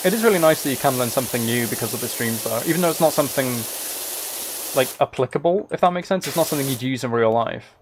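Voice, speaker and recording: A man with a mid-pitched voice talks casually on a livestream as he plays a game. He is soft-spoken and addresses his viewers directly. Clear background noise of game ambience and spraying sits behind him. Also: animated, fast talker. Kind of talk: casual livestream chat while playing a game. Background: game ambience and water spray.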